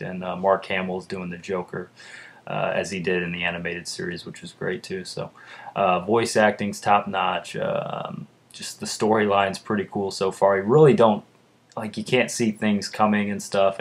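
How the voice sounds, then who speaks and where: A young man with a deep voice, chatting casually in a vlog and rambling with lots of fillers. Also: husky. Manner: rambling, lots of uhs and ums. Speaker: vlogger. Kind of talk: casual chat.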